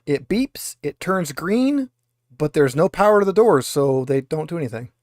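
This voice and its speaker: A man with a slightly high voice acts as game master for a tabletop roleplaying game. His delivery is halting, as if thinking aloud, and the sound is dry, with very little room to it. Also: lively intonation, a little mellow, dry recording.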